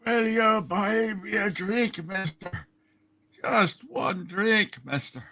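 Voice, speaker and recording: A man voicing a pitiful old drunken beggar, slurred and drawn-out, in an amateur play reading. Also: sits high, bright, forceful tone, soft background music, exaggerated voice. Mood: pleading.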